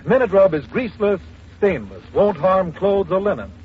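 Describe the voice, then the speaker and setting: A man's voice: high voice, very animated, moderate pace, slightly rough. A man reading a scripted commercial as an announcer in formal, polished 1940s radio style. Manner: formal, polished selling delivery. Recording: muffled old radio, faint hiss.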